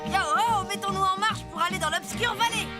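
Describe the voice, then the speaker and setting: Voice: extremely high pitch, brisk, loud music behind the voice. A performer playing a confident, cheerful little boy pirate leader in a cartoon, upbeat and commanding. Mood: eager. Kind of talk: cartoon voice acting.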